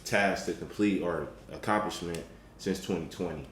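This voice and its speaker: A man with a very deep voice talks in measured, motivational tones, often pausing on an um. The room is echoey. A faint hiss runs underneath. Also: fairly bright tone. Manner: measured, with frequent ums and a motivational tone. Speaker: podcast guest. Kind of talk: casual conversation.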